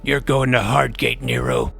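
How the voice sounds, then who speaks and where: Creaky-voiced man as earnest young hero narrator. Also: medium pitch, fairly even intonation, measured pace. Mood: defiant. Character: earnest, nerdy junior-high boy superhero narrating his adventure. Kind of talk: first-person scripted story narration. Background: music.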